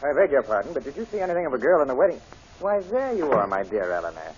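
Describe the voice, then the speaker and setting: A man's voice: mid-range voice, sing-song, quick pace, natural voice. A man playing a condescending, insistent army major and fiancé in old-time radio drama. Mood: coaxing. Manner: patronizing, insistent. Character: smooth, condescending military fiancé, insistent and suspicious. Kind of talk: 1940s radio acting. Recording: muffled, noisy old radio broadcast.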